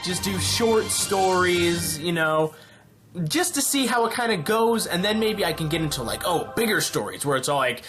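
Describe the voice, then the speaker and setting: Excited, rambling host over prominent music. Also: mid-range voice, moderate pace. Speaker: channel host and narrator. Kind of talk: casual announcement vlog. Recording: music behind the voice.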